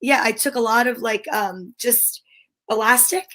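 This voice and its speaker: A middle-aged woman with a clearly high-pitched voice. She speaks casually, as the co-host of an informal show. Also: unhurried, sharp tone. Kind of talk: casual chat.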